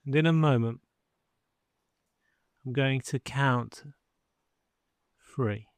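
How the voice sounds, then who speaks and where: Very slow, smooth-voiced male hypnotherapist. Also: medium pitch, dry recording. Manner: slow, soothing, measured with long pauses. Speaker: hypnotherapist guiding a session. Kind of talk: guided sleep hypnosis narration.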